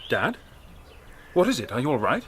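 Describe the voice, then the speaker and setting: A man's voice: medium pitch, huge pitch swings, normal speed, slight background noise. An actor playing an anxious, well-spoken young college man in a radio drama, earnest and eager in manner. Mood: concerned. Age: young adult.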